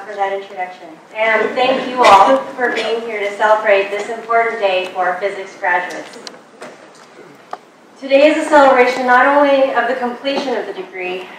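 A young woman giving a prepared commencement speech in a measured, earnest way, speaking at a podium in a large lecture hall. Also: on the high side, a bit bright. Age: young adult. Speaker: graduate student commencement speaker. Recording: distant, very echoey hall, faint noise.

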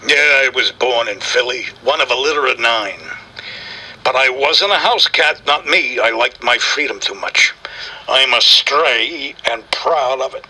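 Casting: male actor as grumpy streetwise stray tomcat for audio comedy, raspy and hard-edged, curt wisecracks over a phone call. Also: mid-range voice, measured pace, pushed and bright, exaggerated voice. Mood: proud, boastful. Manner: curt, slangy, impatient wisecracking. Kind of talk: comic audio drama interview.

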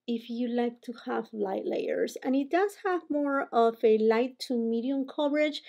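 A woman's voice: fairly high pitch, creaky. Chatty Peruvian-accented woman in her forties. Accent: Peruvian Spanish. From Peru. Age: 40s. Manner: animated, gestures a lot while talking. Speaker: beauty vlogger. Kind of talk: makeup tutorial chat. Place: home room with holiday decor.

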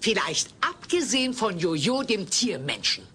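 Lively, scheming carnival show owner. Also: female voice, mid-range voice, measured pace. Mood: scheming, delighted. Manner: theatrical, bossy. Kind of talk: German audio play acting. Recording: slightly noisy old cassette.

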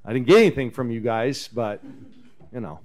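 A man in his fifties, a head coach, talking casually at a post-game press conference into a table microphone, relaxed and chatty. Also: medium pitch, moderate pace. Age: 50s. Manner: relaxed, chatty, chuckles often. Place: press conference room with backdrop. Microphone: table microphone on a stand.

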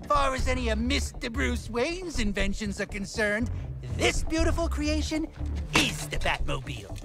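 A cheeky gadget inventor in a video game. The voice swings widely, cocky and boastful like a salesman's, over clear background music. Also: extremely high pitch, sing-song, moderate pace, exaggerated voice. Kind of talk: video game cutscene acting.

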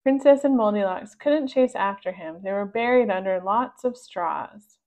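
A woman reading a children's storybook aloud in a measured narrating style for young listeners. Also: medium pitch, darkish tone. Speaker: storybook reader. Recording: dull, lo-fi sound.

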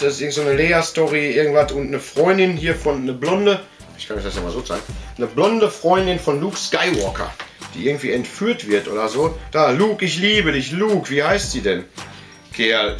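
A man's voice: medium pitch, a bit bright. A man, chatting casually in a rambling, colloquial way that often interrupts itself. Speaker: video host showing collectibles. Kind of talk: casual vlog chat. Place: indoor room full of collectibles.

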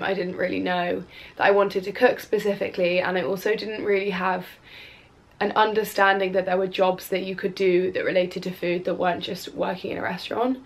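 A woman's voice: medium pitch, gravelly. Chatty young woman with a bunged-up cold voice. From Wales. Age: 20s. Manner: chatty, rambling with fillers and restarts. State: stuffy nose from a cold. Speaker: cook and influencer. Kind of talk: casual Q&A vlog to camera. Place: bedroom at home, indoors. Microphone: camera on a tripod in front of her.

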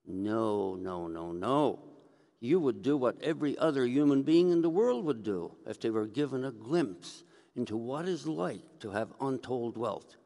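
A man's voice: medium pitch, animated, unhurried, creaky, warm tone. An older man, a priest, giving a conversational sermon at a lectern microphone and telling stories as he preaches. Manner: conversational storytelling, gesturing as he speaks. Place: church sanctuary, indoors. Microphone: gooseneck lectern mic. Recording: reverberant church hall.

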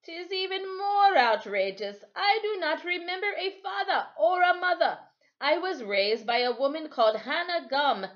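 A woman voicing an easygoing West Indian sailor man in his sixties in a storybook, with folksy, unhurried dialect. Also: very high-pitched, animated, fairly bright tone, highs cut off, pitched above the performer's own voice. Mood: amused, musing. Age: 60s. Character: old West Indian sailor, over sixty, easygoing, chuckling. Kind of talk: storybook character voice.

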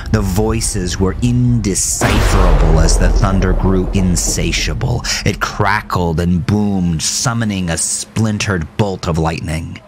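A man narrating a scripted horror story as its storyteller. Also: low voice.

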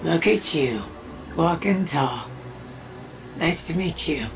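A woman voicing a friendly, easygoing robot gunslinger in a video game, in an unhurried manner. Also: sits low, lively intonation, vocal fry, heavily acted. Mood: welcoming. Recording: distant voice, music behind.

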